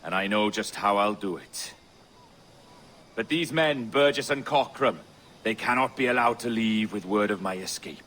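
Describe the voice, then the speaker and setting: An actor as low-voiced, shrewd hunted sailor. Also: male voice, normal speed, fairly bright tone, lightly acted, poor audio. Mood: calculating, resolute. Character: shrewd, defiant hunted sage turning pirate. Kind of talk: video game cutscene acting. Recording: faint ambient noise behind.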